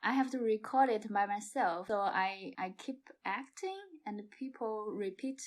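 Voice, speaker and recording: Young female podcast guest, Chinese accent, mid-pitched, informal conversation. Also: a little slow. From China. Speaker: podcast guest, amateur theatre member. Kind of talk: casual chat.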